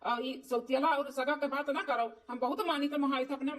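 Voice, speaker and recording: A woman's voice: high voice, steady tone, fairly quick, far from the mic, natural voice. A woman voicing an earnest, dutiful stepson in an amateur cartoon, explaining and pleading with an Awadhi accent. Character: earnest, dutiful adult stepson and new husband.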